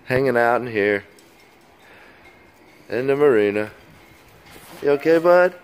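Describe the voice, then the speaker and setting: A man's voice: mid-range voice, lively intonation, slow, smooth. A man in his thirties chatting casually to a phone held at arm's length outdoors, in an easygoing, folksy way. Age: 30s. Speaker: vlogger. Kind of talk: casual vlog chat. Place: outdoors on a waterfront pier and marina. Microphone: handheld phone at arm's length. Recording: noisy outdoor phone audio, wind and water.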